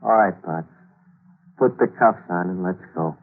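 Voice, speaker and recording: An actor playing a tough, wry amateur undercover hero in a 1940s radio thriller, speaking in a terse, dry way. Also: male voice, low voice, a little slow. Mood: tense, scornful. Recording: tinny 1940s radio recording.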